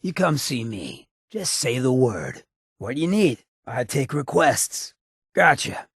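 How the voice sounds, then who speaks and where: An actor voicing a sly, swaggering outlaw mayor in a video game, with a gravelly rasp and cocky, streetwise, slangy drawl. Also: male voice, mid-range voice, very animated, slow pace, a bit bright, no echo, clean studio sound, heavily acted. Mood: approving, easygoing. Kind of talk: video game companion dialogue.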